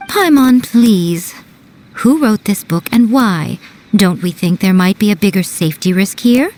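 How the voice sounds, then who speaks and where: A woman's voice: mid-range voice, animated, a little slow, darkish tone, close to an everyday voice. A woman voicing a sly, teasing shrine priestess who runs a publishing house, in a video game. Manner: teasing, playful, superior.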